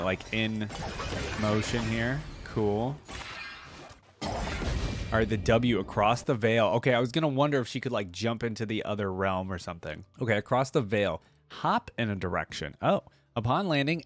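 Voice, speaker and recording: A man giving casual reaction commentary, chatty and curious, thinking aloud as he goes. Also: mid-range voice. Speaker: reaction video host.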